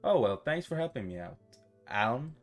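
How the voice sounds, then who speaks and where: A man voicing a shy, rambling young visual novel protagonist in a halting, awkward way. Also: mid-range voice, big pitch swings, lightly acted. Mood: awkward, grateful. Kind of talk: visual novel dialogue read-aloud. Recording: music behind the voice.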